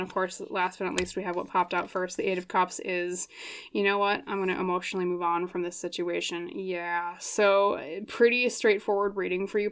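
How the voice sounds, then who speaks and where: A woman's voice: medium pitch, a bit bright, clean tone. A young woman casually talking through a tarot reading, chatty and full of fillers, her voice getting a bit scratchy. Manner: chatty, thinks aloud with many fillers and quick laughs. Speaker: tarot reader.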